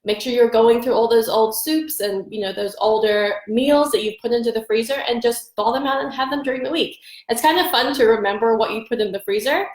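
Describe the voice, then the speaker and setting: A woman's voice: on the high side, a little fast, hardly any pauses, echoey room. A woman with a congested, stuffy voice, giving chatty, upbeat meal prep advice as host of a live stream. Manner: upbeat, chatty, greets viewers by name. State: congested from allergies. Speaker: nutrition coach hosting a live stream. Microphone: phone or webcam for a live stream.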